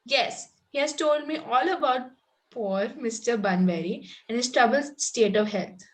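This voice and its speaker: A woman's voice: on the high side, unhurried, close to an everyday voice, low-quality recording. A woman playing a sweet, naively romantic young Victorian girl, speaking earnestly and a little primly in an amateur table read of a stage comedy.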